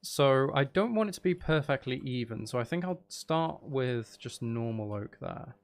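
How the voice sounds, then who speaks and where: A man's voice: mid-range voice, lively intonation, moderate pace. A man chatting casually to viewers as he plays a building game, often murmuring half to himself and trailing off, with a self-deprecating manner. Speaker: live streamer playing a building game. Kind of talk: casual chat with viewers while gaming.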